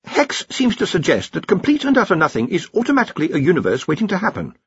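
A man's voice: sits high, wildly swooping pitch, fast, husky, no echo, lo-fi sound, voice pushed up, full character voice. A man voicing an earnest, nervous young wizard researcher in an audiobook, hesitant and deferential. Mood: hesitant, eager.

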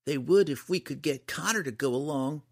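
A man's voice: on the high side, moderate pace, pitched above the performer's own voice. A man voicing a defiant, mischievous little farm boy who protests stubbornly and emphatically. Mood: eager insistence.

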